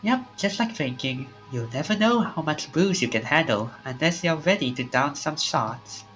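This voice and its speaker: Animated streamer reading game dialogue. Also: mid-range voice, moderate pace, soft background music, low-quality recording. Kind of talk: reading a visual novel aloud.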